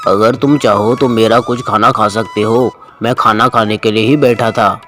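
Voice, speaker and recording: Casting: gentle village farmer a man acting, slightly low voice, kindly and concerned, cartoon fable with music. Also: steady tone, normal speed, close to an everyday voice. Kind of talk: cartoon moral-story voice acting. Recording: music bed under the voice.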